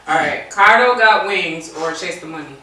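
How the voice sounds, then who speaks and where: Echoey, bright-voiced American woman interviewing. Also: fairly low pitch, normal speed. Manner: slangy, playfully teasing. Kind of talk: casual music interview. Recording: echoey, distant mic, faint noise.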